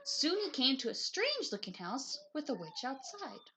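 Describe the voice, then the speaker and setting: High, animated woman reading a storybook. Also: normal speed, background noise. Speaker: picture book reader, teacher. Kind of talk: expressive children's story narration.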